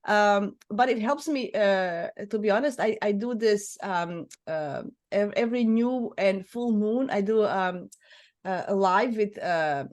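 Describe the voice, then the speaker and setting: A woman's voice: medium pitch, unhurried, a little mellow. A middle-aged woman from Croatia with a Croatian accent, a native Croatian speaker, talking casually as an astrologer being interviewed, often restarting and correcting herself. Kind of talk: casual chat.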